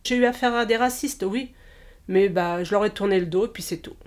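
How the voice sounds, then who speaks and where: A woman in her fifties, originally from Portugal, talks haltingly in a casual interview, with frequent pauses and restarts. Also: mid-range voice, a little mellow. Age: 50s. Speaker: interviewee, childminder.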